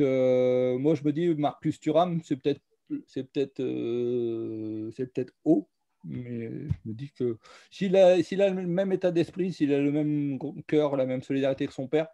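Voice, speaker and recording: A man who runs a charity association, talking casually on a video call, hesitant and filling his pauses with euh. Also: mid-range voice, mellow, no room sound, highs cut off. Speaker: association founder.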